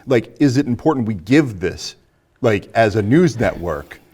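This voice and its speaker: A man with a moderately low voice hosts a casual discussion. He is inquisitive and piles one question on another as he thinks aloud. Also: lively intonation, a little slow, darkish tone, breathy edge. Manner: inquisitive, builds questions in layers. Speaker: host posing discussion questions.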